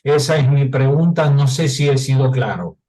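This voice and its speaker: A man's voice: medium pitch, warm tone. Polite man, not a native speaker. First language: not Spanish. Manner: polite, halting, restarts words. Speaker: audience member asking a question. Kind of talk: conference question.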